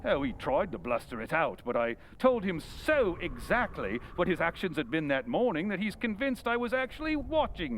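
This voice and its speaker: A man playing a confident, clever gentleman detective with wry playfulness, brisk and theatrical, in an old-time radio drama. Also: high-pitched, rough recording.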